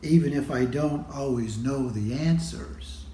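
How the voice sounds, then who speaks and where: A man reading a children's picture book aloud in a deliberate manner, pausing between phrases. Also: medium pitch, warm tone, slight background noise. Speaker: storybook reader. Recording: roomy, echoey room sound.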